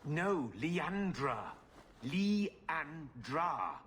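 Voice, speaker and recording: A man's voice: on the high side, animated, drawn-out words, fairly bright tone, slight background noise, full character voice. A man voicing an agitated older uncle in a video game, fretful and blustering. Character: anxious, agitated older uncle, quick to fret.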